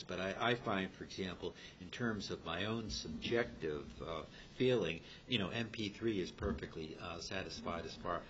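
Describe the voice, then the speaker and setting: Low, halting older man, noisy recording. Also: fairly bright tone. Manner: halting, repetitive, wordy. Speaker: club member. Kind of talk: informal group discussion. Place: club meeting room. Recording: muffled recording with noisy rumble.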